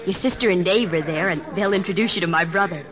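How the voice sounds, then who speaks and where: A woman's voice: fairly low pitch, fast talker, close to an everyday voice. An actress playing a bustling, friendly young woman hosting a party, in old radio drama style. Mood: bustling, eager. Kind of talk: 1940s radio acting. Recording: muffled old radio broadcast, party crowd.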